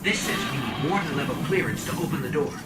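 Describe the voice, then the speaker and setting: Guy as helpful little game sidekick, earnest, bit high, talks kinda quick, loud music behind. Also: expressive, close to an everyday voice. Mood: alert, informative. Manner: informative, matter-of-fact. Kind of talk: video game voice acting.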